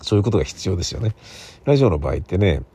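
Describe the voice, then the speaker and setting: A man talking casually on his own, thinking aloud in a rambling, self-correcting way with a gravelly, husky voice. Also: sits low, very animated, very fast, warm tone. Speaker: radio drama writer and theatre playwright.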